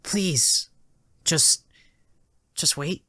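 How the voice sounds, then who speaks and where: A man's voice: on the high side, drawn-out words, bright, forceful tone, husky, dry recording, clean studio sound, pitched above the performer's own voice, heavily acted. A man voicing an earnest, eager young hedgehog hero in a dramatic audio story, with a youthful, hesitant delivery. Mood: pleading.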